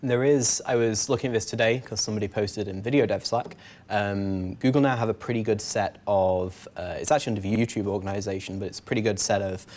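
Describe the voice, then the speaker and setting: A man's voice: fairly low pitch, fairly even intonation, quick pace, darkish tone. A man in his thirties giving a casual, wry tech meetup talk at a podium microphone. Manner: casual, filler-heavy, wry. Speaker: conference speaker.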